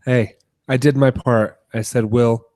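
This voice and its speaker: A man co-hosting a casual podcast with a hoarse, gravelly voice, wry and teasing, close on the mic. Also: medium pitch, fairly even intonation, unhurried, darkish tone, no room sound. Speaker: podcast co-host. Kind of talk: casual chat. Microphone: close studio microphone.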